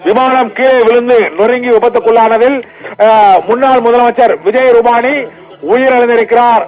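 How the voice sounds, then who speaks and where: A man presenting live breaking news in a halting, repetitive, improvised delivery. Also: very high-pitched. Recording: dull lo-fi broadcast with music behind. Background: background music.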